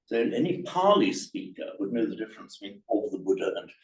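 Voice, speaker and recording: A man's voice: lowish voice, big pitch swings, fairly quick, creaky. A man teaching a language class, explaining grammar patiently and often repeating words as he thinks. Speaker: Pali teacher. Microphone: room recorder, away from the speaker. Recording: echoey room from a distance.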